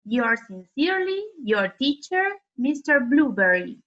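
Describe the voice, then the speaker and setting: A woman teacher reading a children's story aloud in a careful, measured and expressive way. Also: fairly high pitch. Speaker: primary school teacher, co-reader. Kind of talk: slow read-aloud of story letters for children. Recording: dull lo-fi sound.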